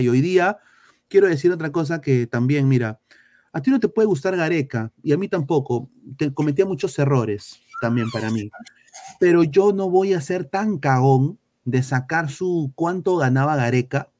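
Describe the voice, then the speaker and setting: A man's voice: a little high, a little mellow. Blunt Peruvian man ranting about football. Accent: Peruvian Spanish. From Peru. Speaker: football show host. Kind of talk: heated casual football talk.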